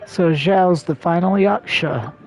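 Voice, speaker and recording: A man's voice: high-pitched, slow pace, over music. A man giving casual live commentary as a game streamer, tossing in laid-back, dry asides.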